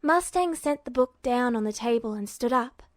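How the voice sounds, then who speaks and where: A woman's voice: fairly high pitch, animated, normal speed, no room sound, studio quality. A woman narrating a scripted story as an audiobook-style reader. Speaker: podfic narrator.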